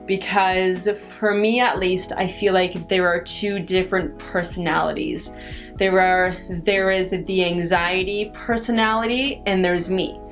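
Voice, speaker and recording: A woman's voice: on the low side, fairly even intonation. A young woman answering viewer questions in a casual chat, stuttering on first sounds and restarting her sentences often. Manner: halting, many restarts and pauses. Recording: faint guitar music underneath.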